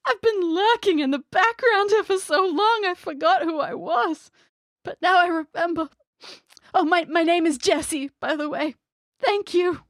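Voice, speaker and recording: A woman voicing a grateful tavern patron who has just remembered who they are, gushing with high emotion. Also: normal speed, dry recording, studio quality. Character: overjoyed once-forgotten tavern patron, suddenly vivid.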